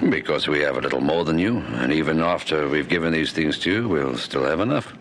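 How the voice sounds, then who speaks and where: Very deep-voiced kindly policeman. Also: animated, a little fast. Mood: friendly. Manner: plain, reassuring. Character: kindly, patient male police warrant officer. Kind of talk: radio drama acting. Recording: noisy old radio drama recording.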